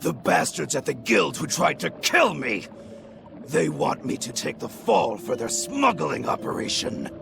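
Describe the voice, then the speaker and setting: A gravelly, scheming sailor. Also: male voice, on the high side, sing-song, a little slow, full character voice. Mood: vengeful. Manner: sardonic, scheming. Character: gravelly bitter male sailor plotting revenge. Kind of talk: video game cutscene acting. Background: game music.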